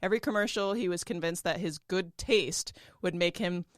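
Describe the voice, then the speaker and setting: A woman co-hosts a casual podcast, chatty and quick to laugh as she tells a story. Her voice sits low and comes across smooth and clean. The sound is dry and close. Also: no room sound. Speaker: podcast co-host.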